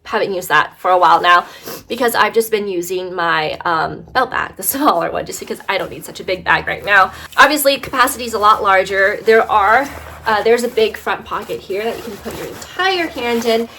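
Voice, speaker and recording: A woman's voice: medium pitch, lively intonation, brisk, bright, forceful tone, slight background noise. A woman vlogger casually reviewing bags, slightly congested from allergies, chatty with many fillers. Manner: chatty, lots of fillers and "right?". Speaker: fashion vlogger.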